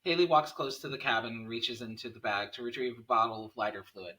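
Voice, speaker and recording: A man's voice: mid-range voice, fast, pushed and bright. A man reading out screenplay stage directions at a table read, picked up by a distant microphone.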